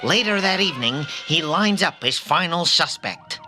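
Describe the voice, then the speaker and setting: Man playing a high-pitched, dramatic radio serial announcer. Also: lively intonation, normal speed, pushed and bright, faint music behind. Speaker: narrator.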